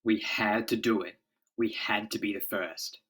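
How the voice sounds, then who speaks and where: A man's voice: on the low side, fairly even intonation, measured pace, a bit bright. A man voicing an earnest, commanding scientist leader in a declamatory comic-book reading. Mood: grave. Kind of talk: dramatic comic-book voice acting.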